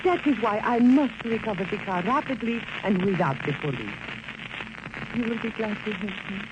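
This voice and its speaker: An actress playing a melodramatic, coy Mexican femme fatale with a Spanish accent in 1940s radio drama style. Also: female voice, mid-range voice, animated, moderate pace, lightly acted. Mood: coy, guarded. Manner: coy, theatrical, formal phrasing. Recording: dull, noisy old-time radio recording.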